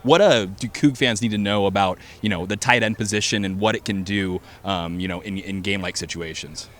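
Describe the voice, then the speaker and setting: A man asking a question as a reporter, halting and searching for his words. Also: sits low, fairly bright tone. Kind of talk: press conference question.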